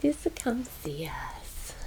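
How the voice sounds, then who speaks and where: A woman's voice: low-pitched, very animated, extremely slow, noisy background. A woman in her thirties whispering a casual, chatty, gushing story in an ASMR style. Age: 30s. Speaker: ASMR vlogger.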